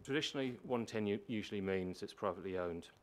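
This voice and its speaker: A man with a low voice presenting a prepared submission at a public meeting, explaining and repeating points for emphasis. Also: fast talker. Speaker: housing organisation manager presenting to a council. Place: council meeting chamber. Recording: meeting room with faint background noise.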